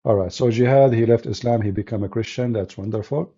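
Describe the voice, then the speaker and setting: A man's voice: medium pitch, a little fast, warm tone, husky, lo-fi sound. A man hosting a combative call-in debate, speaking blunt, mocking non-native English. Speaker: host of call-in debate show.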